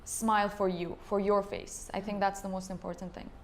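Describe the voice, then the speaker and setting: A woman originally from Iran, a dentist, giving clear and measured explanations as an interview guest. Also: mid-range voice, level tone, slight background noise.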